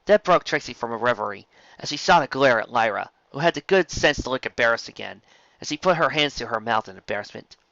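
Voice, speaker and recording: An audiobook narrator reads scripted fiction with very animated pitch and a bright tone. The recording is dry and a little dull. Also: fast, dry recording.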